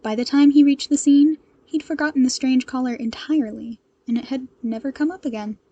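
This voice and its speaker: A woman narrator with a fairly high, mellow voice and a husky grain. She reads scripted fan fiction aloud with expressive storytelling delivery. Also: brisk, lo-fi sound.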